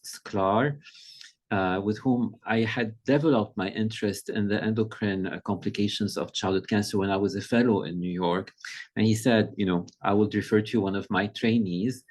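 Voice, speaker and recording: A middle-aged man from Lebanon, a pediatric endocrinologist, giving an informal presentation over a video call in a measured, conversational way, often pausing to find his words. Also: lowish voice, darkish tone.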